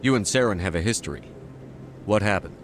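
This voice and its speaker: An actor playing a resolute human military commander in a video game, speaking in a firm, blunt, controlled way. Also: male voice, lowish voice, a little fast, noisy background, close to an everyday voice. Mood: businesslike. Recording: music behind the voice.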